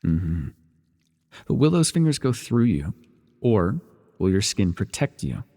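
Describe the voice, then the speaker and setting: Breathy, low-voiced teasing villain mentor played by a man. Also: very animated, measured pace. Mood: patient, instructing. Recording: reverberant indoor pool echo.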